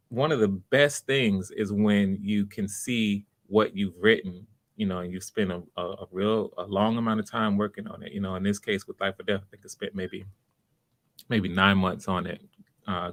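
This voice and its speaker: Low-voiced man hosting a livestream, dry sound. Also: fairly bright tone, no echo. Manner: welcoming, encouraging, unscripted and halting. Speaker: host of live online voice auditions, writer. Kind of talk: casual livestream chat, greeting viewers by name.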